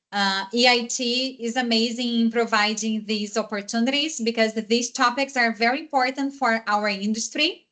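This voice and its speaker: A Brazilian woman speaks English with a Portuguese accent, her first language still plain in her speech. Her voice sits a little higher than most women's, and her manner is gracious and easy. She speaks over a computer mic on a video call. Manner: warm and gracious, laughs easily. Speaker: webinar presenter, engineering lecturer. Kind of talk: casual thank-you remarks.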